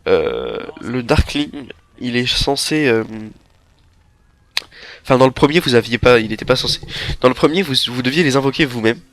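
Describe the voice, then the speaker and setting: A man's voice: mid-range voice, no echo. Young man with a head cold. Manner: rambling, halting, relaxed. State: stuffy nose from a head cold. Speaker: gameplay commentator. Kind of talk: game walkthrough commentary. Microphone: headset microphone.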